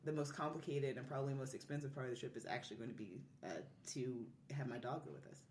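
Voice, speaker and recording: A woman's voice: very deep voice, quick pace, darkish tone. A woman chatting casually as a podcast guest. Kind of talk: casual chat. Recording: roomy echo with noticeable background noise.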